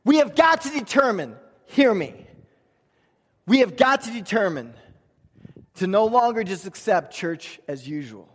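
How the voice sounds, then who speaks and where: Male pastor, high-pitched voice, emphatic conversational sermon, repeated phrases, long hall reverb. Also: big pitch swings, measured pace, long pauses, breathy edge. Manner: conversational, emphatic, with rhetorical questions and repetition. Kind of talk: church sermon. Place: large room, preaching to a congregation.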